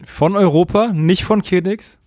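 A man's voice: high-pitched, measured pace, a little mellow, no room sound, studio quality. A man co-hosting a podcast, chatting casually and jokingly with a partner. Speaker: podcast co-host.

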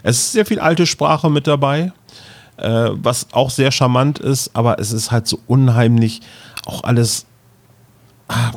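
A man with a medium-pitched voice chats casually as a co-host. His phrasing is a little halting and conversational. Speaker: podcast co-host. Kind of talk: casual chat.

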